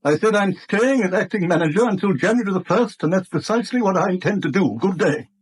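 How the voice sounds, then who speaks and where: A proud, bitter older British bank manager. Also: male voice, high-pitched, lively intonation, fast talker, slightly rough. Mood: curt, indignant. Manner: curt, indignant, self-pitying. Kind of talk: 1970s British radio drama acting.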